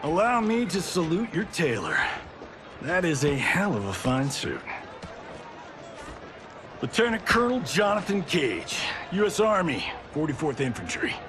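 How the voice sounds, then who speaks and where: An actor playing a cocky, wisecracking American army officer from the Second World War, brash and slangy in a video game. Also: male voice, fairly high pitch, wildly swooping pitch, unhurried, long pauses, noisy background. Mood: brash, jaunty. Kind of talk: cinematic video game acting. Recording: game cutscene with music behind.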